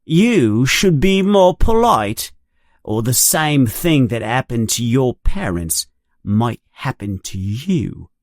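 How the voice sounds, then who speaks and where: A man voicing an arrogant schoolboy bully in an audiobook, speaking in a slow, mean, menacing way. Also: mid-range voice, very animated, no echo, clean studio sound.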